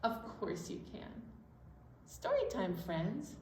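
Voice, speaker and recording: A woman's voice: medium pitch, wildly swooping pitch, slow pace, a little mellow, a little hiss or hum. A woman hosting story time for young children, playfully giving simple, repeated instructions. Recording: echoey room, distant mic.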